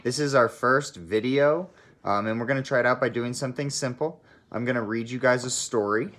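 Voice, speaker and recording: A teacher reading a storybook aloud to children, expressive and speaking directly to young listeners. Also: medium pitch, fairly quick. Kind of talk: friendly storybook read-aloud.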